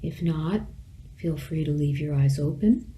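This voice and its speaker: A woman teacher leading a guided meditation, speaking gently in measured phrases with long pauses between them. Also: low-pitched, a little hiss or hum.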